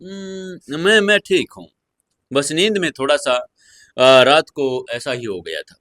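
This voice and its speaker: A man voicing a tired, apologetic young wife in an audio story, gentle and hesitant. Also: fairly high pitch, lively intonation, a little slow, no room sound, clean studio sound. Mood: sleepy, hesitant.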